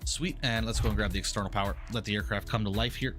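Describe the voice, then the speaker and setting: A man talks fast and casually on a headset mic, his slightly low voice nasal and stuffy from a cold. He greets chat by name and walks through cockpit setup. Faint background music plays under him. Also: fairly even intonation, fairly bright tone. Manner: upbeat, reads out chat names and cockpit steps. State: congested, nasal from a mild flu. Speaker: flight sim streamer. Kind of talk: casual live-stream chat.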